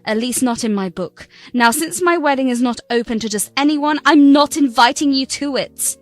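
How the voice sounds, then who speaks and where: A spoiled young bride snaps at the people who raised her, her voice pitched a little high and edged with scorn, as a woman puts her on. Soft music plays faintly underneath. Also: big pitch swings, brisk. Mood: scornful. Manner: scornful, sharp.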